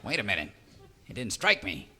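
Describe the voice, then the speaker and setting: A man's voice: on the low side, huge pitch swings, brisk, noisy background. A man playing a dazed, pathetic arch-villain, melodramatic and wailing, in a radio serial parody. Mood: relieved. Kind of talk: melodramatic old-time radio parody acting.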